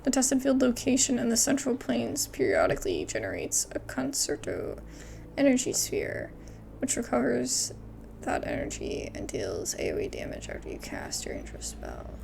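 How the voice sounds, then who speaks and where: A woman's voice: a little high, huge pitch swings, moderate pace, gravelly, a little mellow, a little hiss or hum. A young adult woman giving chatty, casual gameplay commentary as she plays. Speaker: gameplay streamer.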